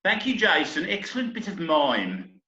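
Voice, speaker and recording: Guy doing a flustered earnest stage compere, high voice, echoey muffled call recording. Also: huge pitch swings, normal speed, pushed and bright, full character voice. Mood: grand, welcoming. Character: earnest, slightly flustered theatre troupe leader acting as compere. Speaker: amateur actor in a remote group reading. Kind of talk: comic stage-play reading, addressing the audience.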